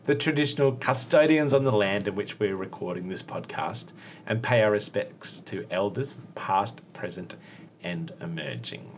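Enthusiastic Australian man, lively pitch. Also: normal speed, a little hiss or hum. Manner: enthusiastic, laughs often. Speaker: podcast co-host. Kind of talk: casual chat.

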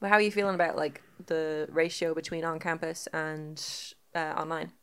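A woman's voice: deep voice, little pitch movement, moderate pace, clear, resonant tone. A woman guesting on a podcast, chatting easily and laughing often. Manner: giggly, laughs often, easygoing. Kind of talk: casual chat.

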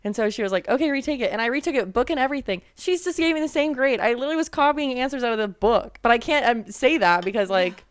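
A young woman co-hosting a podcast, telling stories in animated casual chat and often laughing. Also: on the high side, fast, few pauses, clear, resonant tone, no echo. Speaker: podcast co-host.

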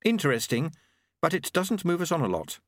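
A man puts on a knowing storybook woman, pitched well above his own voice. She is brisk and sarcastic, with lively swings of pitch and little patience. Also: no room sound, clean studio sound. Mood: brisk, sceptical. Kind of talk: audiobook character acting.

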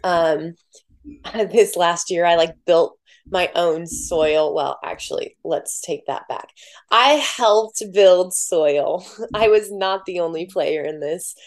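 A woman with a lowish voice talks slowly and gently, musing aloud and leaning on filler words. She comes across soft-spoken and reflective in an unhurried podcast chat. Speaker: podcast guest. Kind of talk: reflective casual conversation.

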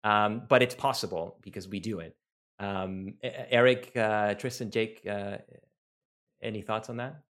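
A man from Venezuela whose first language is Spanish, hosting an online talk in a relaxed, rambling way with many fillers. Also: on the low side, normal speed. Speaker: talk host and researcher. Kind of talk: casual online talk, taking questions.

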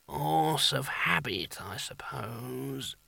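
A man voicing Pestilence, a sickly, gloomy horseman with a gravelly, dripping voice who talks slowly and doubtfully. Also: medium pitch, expressive, sharp tone, no echo, heavily acted. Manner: slow, doubtful and mournful.

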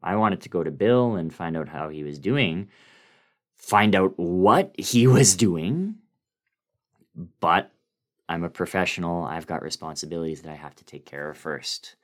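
A man narrating a first-person horror story as a dramatic reading, with theatrical, expressive delivery. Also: sits low, a little slow.

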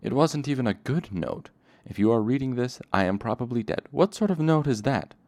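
A man voicing an excitable, easily offended young male fae servant who hisses and splutters, in a storybook read aloud. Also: low voice, normal speed, gravelly, no room sound. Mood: indignant. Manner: indignant, hissing and spluttering.

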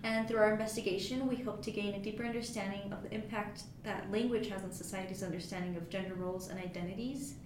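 A woman's voice: mid-range voice, fairly even intonation, fast talker, few pauses. A young woman co-hosting a student podcast, earnest and slightly scripted in tone. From United States. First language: Spanish. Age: young adult. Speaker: student co-host. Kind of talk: casual podcast chat. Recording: echoey room with noise.

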